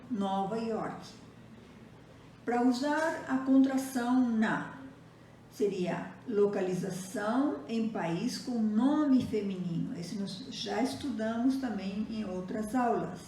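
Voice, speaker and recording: Slow, mellow woman teacher, echoey room. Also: a little high, recorded from a distance. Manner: teaches by comparing Portuguese and Spanish, reading out example sentences. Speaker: Portuguese teacher. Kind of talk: slow, deliberate grammar lesson. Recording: very echoey room, voice set back from the mic, faint noise.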